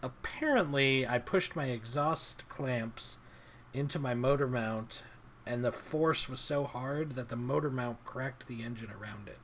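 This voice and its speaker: A man's voice: medium pitch, a little slow, a bit bright, slight background noise. A man co-hosting a casual podcast, telling a story in a laid-back way and laughing often. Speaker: podcast co-host. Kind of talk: casual chat.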